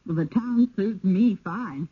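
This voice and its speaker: A woman's voice: medium pitch, slow, breathy edge, dry recording. A woman playing a gracious, effusive city wife in a radio drama. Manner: effusive, polite. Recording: dull old radio recording.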